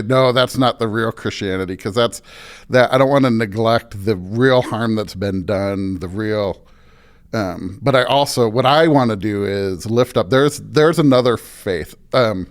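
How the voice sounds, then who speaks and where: Guy with a lowish voice, pastor type, thoughtful podcast chat, earnest, repeats phrases to make his point. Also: smooth. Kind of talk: thoughtful conversational interview.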